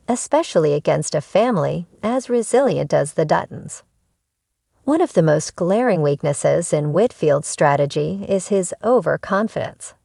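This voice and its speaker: A woman's voice: sits low, creaky, darkish tone, dry recording, studio quality. A woman narrating a scripted entertainment news video.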